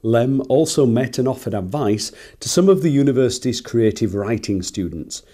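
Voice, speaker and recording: A man's voice: medium pitch, expressive, moderate pace, mellow. A man reading scripted promotional narration as a narrator.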